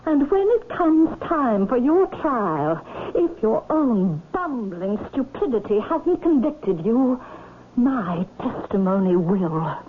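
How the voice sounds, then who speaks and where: A scheming noblewoman in an old radio drama, with a fairly high voice. She is possessive, imperious and melodramatic. The recording is thin and tinny, with heavy hiss. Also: big pitch swings, slow pace. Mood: spurned, vindictive. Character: possessive, scheming aristocratic widow, turning vindictive when spurned. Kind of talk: vintage radio drama acting.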